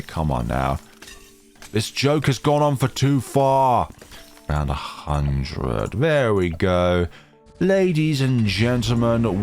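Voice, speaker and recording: A young man chatting casually over his gameplay in a rambling, self-deprecating way, his voice still deeper than usual as he recovers from a chest infection, recorded on a home computer microphone. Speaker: gaming channel host.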